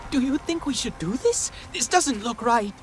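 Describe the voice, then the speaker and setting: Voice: medium pitch, expressive, normal speed, faint music behind, natural voice. A performer playing a hesitant, cautious young alien protagonist in a cinematic video game. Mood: uneasy.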